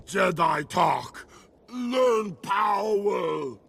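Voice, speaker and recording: A man voicing a brutish, primitive alien raider in a video game, barking short broken phrases in a gravelly voice. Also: high voice, lively intonation, extremely slow, fairly bright tone, shouting, a little hiss or hum, full character voice. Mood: power-hungry. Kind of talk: video game character acting. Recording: game music behind voice.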